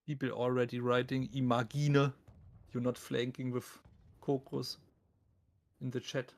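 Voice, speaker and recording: A man's voice: medium pitch, flat delivery, a little slow, creaky. A man with a thick German accent doing live esports commentary on a game, casual, joking and self-deprecating as he talks to his viewers.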